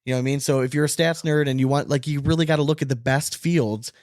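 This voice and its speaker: A man's voice: mid-range voice, fairly even intonation, quick pace, darkish tone, no echo, studio quality. A man co-hosting a casual sports podcast, talking in an emphatic, opinionated way and swearing casually. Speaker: podcast co-host.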